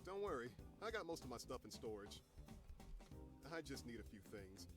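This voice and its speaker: Breezy, animated young-sounding guy, acted by a man over music. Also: mid-range voice, moderate pace, lightly acted. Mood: breezy, reassuring. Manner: breezy, teasing. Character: casual teasing male friend, busy film-shoot type. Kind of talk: visual novel voice acting. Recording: game music behind the voice.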